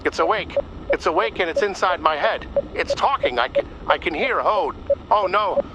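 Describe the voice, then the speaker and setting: An actor playing a terrified explorer in a radio drama, halting and stammering with fear. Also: male voice, high voice, animated. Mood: panicked terror. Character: terrified male explorer descending into monstrous ruins. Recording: noisy transmission, watery vehicle ambience.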